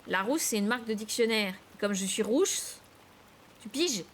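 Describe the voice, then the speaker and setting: A woman's voice: mid-range voice, expressive, moderate pace, a little hiss or hum, close to an everyday voice. A woman playing a cheeky, blunt schoolgirl. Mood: mildly annoyed. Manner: blunt, teasing, a little annoyed. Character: cheeky, blunt redheaded schoolgirl, slightly impatient.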